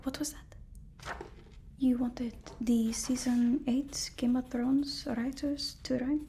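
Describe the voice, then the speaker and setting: A woman playing a deferential, flustered personal assistant to a supervillain in a halting, whispered ASMR roleplay. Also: high-pitched, steady tone, slight background noise. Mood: eager to please. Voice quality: soft, breathy whisper.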